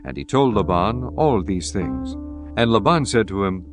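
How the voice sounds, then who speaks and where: A man narrating scripture in a measured, reverent manner. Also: lowish voice, very animated. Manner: measured, reverent, archaic diction. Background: guitar music.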